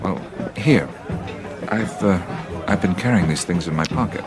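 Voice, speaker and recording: A man's voice: low voice, normal speed, over loud music, a loud crowd, lightly acted. A man acting a thoughtful, curious adventurer in a radio drama, speaking in a musing, hesitant way. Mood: wondering. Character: male adventurer, thoughtful, curious, easily spellbound.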